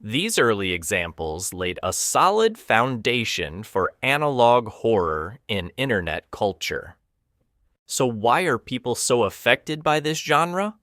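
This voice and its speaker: Slow, low-voiced man narrating ominously. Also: a bit bright, dry recording, clean studio sound. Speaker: documentary narrator. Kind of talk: scripted documentary narration.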